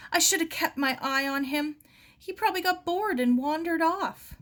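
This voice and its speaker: A woman acts out an earnest young storybook girl, anxious and a little bossy. The voice is pitched high and comes out emphatic, rising well above plain narration. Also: normal speed, a bit bright. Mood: worried. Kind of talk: storybook character dialogue.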